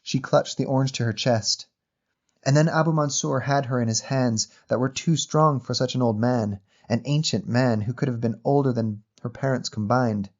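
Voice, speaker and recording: A man reading a literary short story as narrator in a measured, descriptive manner. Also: medium pitch, muffled recording.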